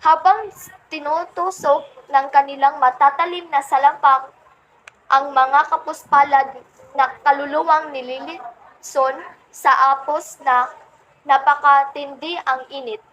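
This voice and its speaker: High-pitched young woman as a polite radio reporter. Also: steady tone, measured pace, fairly bright tone, dull audio. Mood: descriptive, grave. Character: polite live radio field reporter covering hell. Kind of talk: scripted radio play reporting.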